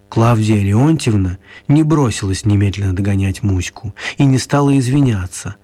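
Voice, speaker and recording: A male narrator tells a children's story as scripted radio drama. His voice sits at a middling pitch and has a husky grain. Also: darkish tone.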